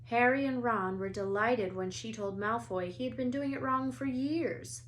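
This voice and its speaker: A woman's voice: fairly high pitch, moderate pace, slight background noise, low-quality recording. A woman reading a children's story aloud as narrator, expressively, like a teacher to pupils.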